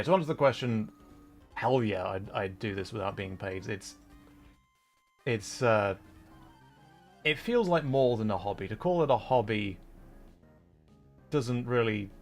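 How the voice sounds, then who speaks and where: A man chatting casually on a livestream while playing a game, rambling and halting as he thinks aloud. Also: medium pitch. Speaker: livestreamer, VTuber and content creator.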